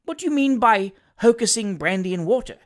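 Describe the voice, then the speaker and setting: Man playing a genteel, wondering older gentleman. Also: sits high, lively intonation. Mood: astonished, wondering. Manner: polite, measured, wondering.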